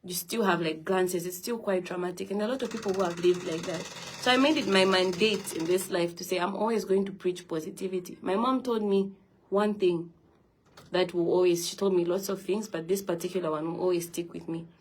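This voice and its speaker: A woman's voice: lowish voice, brisk, a little hiss or hum, rough recording. A young woman hosting a phone live stream, talking earnestly and encouragingly to her viewers about self-love and positivity. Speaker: livestream host.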